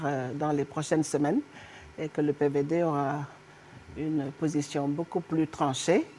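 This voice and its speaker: A middle-aged woman from Senegal, a politician answering questions as a guest in a TV studio interview, animated and emphatic, with frequent hesitations. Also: low-pitched. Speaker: guest politician, party honorary president.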